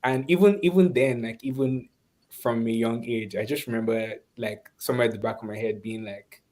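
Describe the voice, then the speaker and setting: A Nigerian man speaking reflectively and conversationally in an online webinar, often pausing and restarting as he searches for words. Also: mid-range voice, darkish tone. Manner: thoughtful, halting, searching for words. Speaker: webinar speaker, course instructor.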